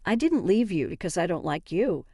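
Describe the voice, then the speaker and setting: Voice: medium pitch, big pitch swings, normal speed, warm tone, breathy edge, no echo, studio quality. A narrator voicing a cold, indifferent husband in a story, curt and detached. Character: cold, indifferent husband siding with his mother.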